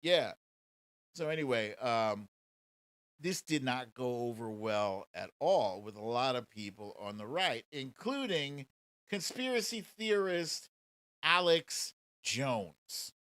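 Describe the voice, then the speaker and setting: Sarcastic man with swooping, unhurried delivery. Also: medium pitch, lively intonation, a bit bright, no echo. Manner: sarcastic, mocking, profane. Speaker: show host. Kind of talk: casual political commentary livestream.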